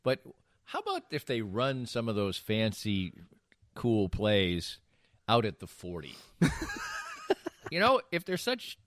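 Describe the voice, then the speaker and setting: American male sports talk host, lower register, swinging intonation, emphatic and opinionated, casual delivery. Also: huge pitch swings, slow pace, pauses often, fairly bright tone, no echo, studio quality. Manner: emphatic, opinionated, mockingly acts out imagined quotes.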